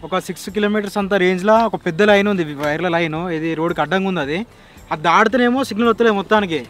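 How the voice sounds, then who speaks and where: A man's voice: high-pitched, very fast, fairly bright tone. A young man with a Telangana accent explaining something in informal, casual chat. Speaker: range-test helper.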